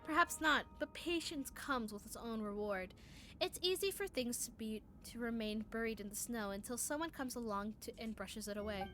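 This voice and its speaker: A woman streamer voicing a calm, patient male alchemist who defends himself formally, with some stumbles in the reading. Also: fairly high pitch, faint music behind, natural voice. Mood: patient, composed. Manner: measured, formal, stumbling over the script.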